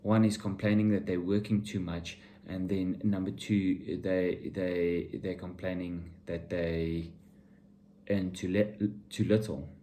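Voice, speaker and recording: Middle-aged male vlogger, low and unhurried, blunt and opinionated, for an informal motivational monologue. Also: level tone, mellow, slight background noise. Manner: blunt, opinionated, emphatic with repetition. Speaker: vlogger and entrepreneur giving motivational talk.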